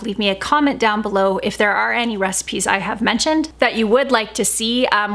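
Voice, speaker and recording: A woman chatting casually as she cooks in her home kitchen for a vlog, relaxed, with a sore throat from talking all day. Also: mid-range voice. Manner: chatty, relaxed, with filler ums. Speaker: vlogger cooking at home. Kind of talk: casual cooking vlog chat.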